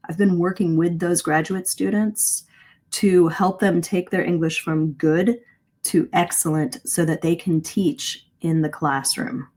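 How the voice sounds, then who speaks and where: Female communication coach, middle-aged, slightly low voice; explains conversationally and encouragingly, leaning on key points. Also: fairly even intonation, measured pace, a little mellow. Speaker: English communication coach, host. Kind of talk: live online lesson, conversational teaching. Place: live video stream.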